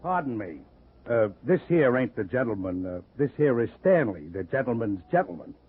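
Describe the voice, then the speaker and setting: A man's voice: medium pitch, big pitch swings, moderate pace, over music, close to an everyday voice. An actor playing a grumbling reformed crook turned manservant, with ungrammatical tough-guy talk, in a vintage radio comedy-mystery. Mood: mournful, confiding. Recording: muffled, tinny old radio recording.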